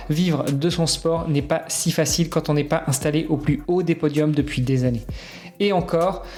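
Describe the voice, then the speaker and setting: A man's voice: a little high, darkish tone. Upbeat French podcast host, man. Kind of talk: scripted intro then interview.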